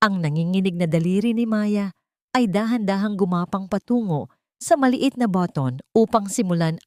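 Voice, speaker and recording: A woman's voice: medium pitch, darkish tone, dry recording, studio quality. A woman reading a dramatic story as a scripted narration, with a solemn, ornate storytelling delivery.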